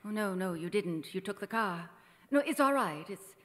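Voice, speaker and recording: A middle-aged woman playing a vain, fragile faded film star in a stage radio drama, halting and clinging. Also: medium pitch, expressive, a bit bright, close to an everyday voice. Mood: clinging, pleading. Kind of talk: old-time radio drama acting on stage. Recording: echoey theater hall reverb.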